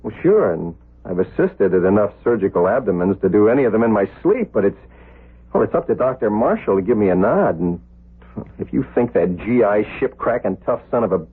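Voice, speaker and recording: An actor playing an eager, cocky young surgical resident who turns hesitant with superiors, in a 1970s radio drama. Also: male voice, fairly low pitch, expressive, a little fast, breathy edge. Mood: cocky, chatty. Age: young adult. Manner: hesitant, stammering, deferential. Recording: lo-fi narrowband old radio recording.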